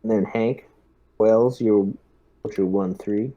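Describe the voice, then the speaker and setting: Mellow man giving a halting briefing. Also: on the low side, fairly even intonation, dull audio. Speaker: player acting as squad lieutenant. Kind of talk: tabletop role-playing session, giving mission briefing.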